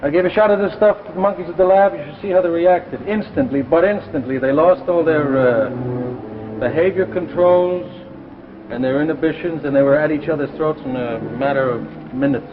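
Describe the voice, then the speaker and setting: An American man playing an excited, driven research scientist and husband in a 1950s television drama, explaining haltingly and eagerly. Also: on the high side, close to an everyday voice. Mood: excited, proud. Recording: tinny, noisy 1950s broadcast recording.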